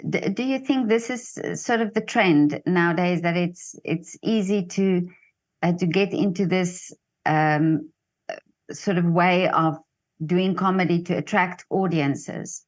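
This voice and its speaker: Woman interviewer, slightly low pitch, hesitant, halting questions.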